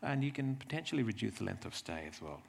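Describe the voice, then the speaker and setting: Male presenter, low creaky voice, explanatory slide talk with halting phrasing. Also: sing-song, darkish tone. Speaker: presenter from a health service.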